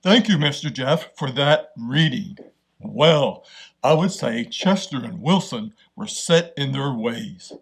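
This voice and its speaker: A man's voice: medium pitch, lively intonation, takes it slowly, gravelly, slightly rough, heavily acted. A man voicing a kindly, encouraging bear who hosts a children's storytime. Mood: kindly, cheerful. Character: friendly, gentle bear storytime host.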